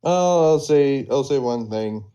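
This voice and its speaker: Male player-storyteller, dark soft tone, halting casual delivery in group chat. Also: mid-range voice, expressive, muffled recording. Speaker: role-play server player recounting the story.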